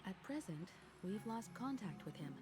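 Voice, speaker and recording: An actress playing a calm, decisive leader in a video game, speaking formally and steadily. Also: female voice, medium pitch, normal speed, close to an everyday voice. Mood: calm, resolute. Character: calm, decisive woman leader in space adventure. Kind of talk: scripted video game dialogue.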